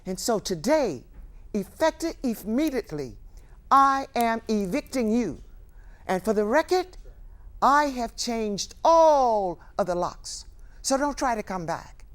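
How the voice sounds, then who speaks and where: An older actress playing a wry, grieving, defiant ageing woman in a theatrical monologue, with deliberate pauses and emphatic delivery. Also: fairly high pitch, sing-song. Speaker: stage actor performing a monologue.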